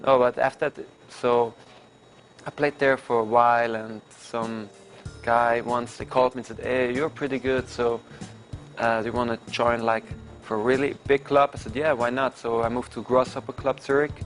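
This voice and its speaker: A young man in his twenties with a Swiss German accent, answering interview questions about his soccer career in halting English full of "like". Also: medium pitch, flat delivery, soft background music. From Switzerland. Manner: halting non-native English with filler "like". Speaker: professional soccer player. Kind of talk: casual interview.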